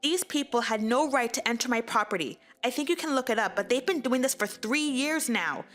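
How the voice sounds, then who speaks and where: A firm, sharp-tongued homeowner, played by a woman, high in pitch and animated. She speaks pointedly, as a comic-dub heroine standing up for herself, over faint music. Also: fairly quick. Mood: indignant. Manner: assertive, pointed. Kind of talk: manga dub voice acting.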